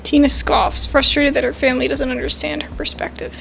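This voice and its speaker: High-pitched, creaky woman playing a game. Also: level tone, a little fast, fairly bright tone, a little hiss or hum. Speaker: gamer playing and reading a game aloud. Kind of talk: casual game commentary and narration reading.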